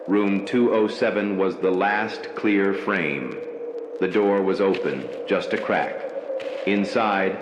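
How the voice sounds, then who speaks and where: A man with a fairly low voice narrates a scripted horror tale in a slow, measured, ominous way. His voice rings in a roomy, echoing space. A steady wash of background noise sits under every line. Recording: echoey room with background noise.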